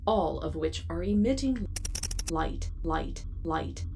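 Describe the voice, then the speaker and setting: A woman's voice: low-pitched, big pitch swings, takes it slowly, heavily acted. Glitchy officious female ship computer, acted by a woman. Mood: dutiful, balky. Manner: formal announcements that glitch and repeat words. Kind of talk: sci-fi audio drama acting. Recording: clearly noisy background hum.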